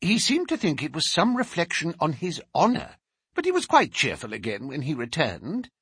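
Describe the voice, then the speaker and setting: A man voicing an excitable elderly male collector, eager and breathless. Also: fairly high pitch, normal speed, husky, no room sound, clean studio sound, pitched above the performer's own voice, exaggerated voice. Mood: mild. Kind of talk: audiobook character reading.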